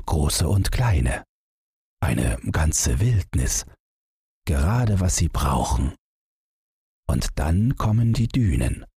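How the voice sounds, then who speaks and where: Male audiobook narrator, low and very breathy-husky, measured literary storytelling with deliberate pauses. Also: a little mellow, no room sound, clean studio sound.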